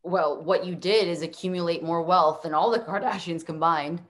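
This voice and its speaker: A skeptical former assistant played by a young woman speaks in a slightly low voice. She is deadpan and incredulous, with an anxious edge, in a comic virtual table read. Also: normal speed, close to an everyday voice. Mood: dry. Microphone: video call.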